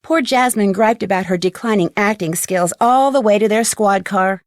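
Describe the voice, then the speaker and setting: A woman's voice: mid-range voice, moderate pace, no room sound, studio quality, natural voice. A woman with an American accent playing a witty teenage girl detective, telling how a case ended in wry, conversational game narration. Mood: wry, amused. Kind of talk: scripted game epilogue narration.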